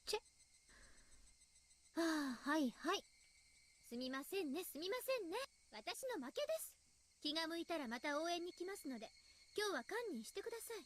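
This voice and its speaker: A woman's voice: very high voice, big pitch swings, quick pace, long pauses. A woman playing a sulky, teasing teenage girl in Japanese anime game style. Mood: sulky, playful.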